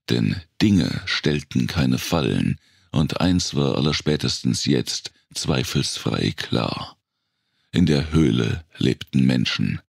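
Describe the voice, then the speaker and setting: A man reading a dark thriller audiobook as a dramatic narrator, brooding and deliberate, with pauses for effect and a gravelly, husky voice. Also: a bass voice, no room sound, clean studio sound.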